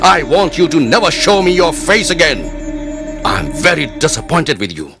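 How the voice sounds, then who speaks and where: Proud, dramatically swooping cartoon emperor. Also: male voice, a little high, sing-song, normal speed, creaky, music in the background. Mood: indignant. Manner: imperious, declamatory. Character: proud Mughal emperor, devout and easily angered. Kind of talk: animated moral story dialogue.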